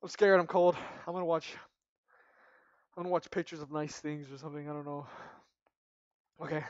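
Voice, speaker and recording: A young man playing a horror game at home into a headset mic, panicky and jumpy, his voice going hoarse. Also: a little high, steady tone, fairly quick, no room sound, highs cut off. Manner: jumpy, panicky, screams and mutters. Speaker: gaming YouTuber. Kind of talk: horror game let's play commentary. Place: small room at home, indoors.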